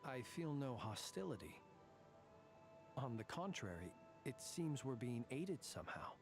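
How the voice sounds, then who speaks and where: Calm, serious investigator. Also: male voice, mid-range voice, music in the background. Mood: composed. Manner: measured, matter-of-fact. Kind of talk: game voice acting.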